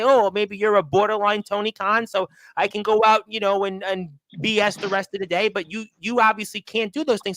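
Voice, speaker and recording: High-voiced, bright male radio host. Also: no echo, low-quality recording. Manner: rambling, circles back with repeated questions. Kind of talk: interview.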